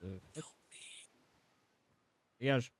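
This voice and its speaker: A man giving casual, informal gameplay commentary as a video creator, often repeating and restarting his phrases. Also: very slow.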